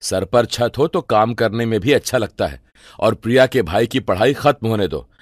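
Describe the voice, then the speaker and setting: A man's voice: lowish voice, expressive, moderate pace, no echo, clean studio sound. A man playing a rich, generous husband in storybook cartoon dialogue, composed and reassuring. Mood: approving, reassuring.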